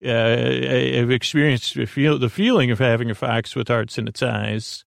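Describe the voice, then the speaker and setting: A man hosting a sleep podcast, talking in a meandering, halting way with many fillers and restarts. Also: mid-range voice, vocal fry, dry recording, studio quality. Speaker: podcast host. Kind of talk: rambling sleep podcast intro.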